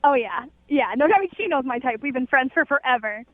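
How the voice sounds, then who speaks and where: A woman's voice: high-pitched, expressive, a little fast, noisy background. A young woman calling in to a radio show, chatting casually in a girlish, giggly, gushing way over a narrow phone line. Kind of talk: casual chat. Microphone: telephone.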